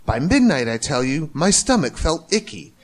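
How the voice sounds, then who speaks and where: A man narrating a rhyming children's story in an expressive, theatrical way. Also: a little high, normal speed. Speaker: storybook reader.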